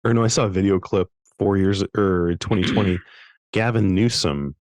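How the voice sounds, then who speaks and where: Low-voiced man, dry close mic, excitable talker who restarts sentences, podcast co-host. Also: normal speed, dry recording. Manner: animated, stumbling restarts. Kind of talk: casual chat.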